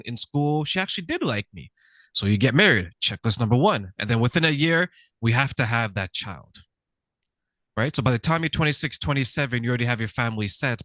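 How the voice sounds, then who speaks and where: Man, interview host, humorous, animated, dry close recording. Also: medium pitch, no room sound. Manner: humorous, animated storytelling with playful voices. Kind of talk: casual interview conversation.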